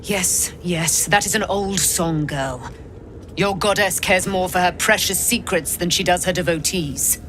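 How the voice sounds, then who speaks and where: A woman playing a cryptic, commanding immortal in a video game, measured and disdainful. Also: fairly low pitch, a bit bright, soft background music. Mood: scornful, knowing. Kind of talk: cinematic video game acting.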